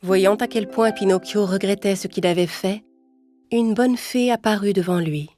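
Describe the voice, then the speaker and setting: A woman narrating a cartoon fairy tale in a calm, even storytelling voice. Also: medium pitch, normal speed. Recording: music playing underneath.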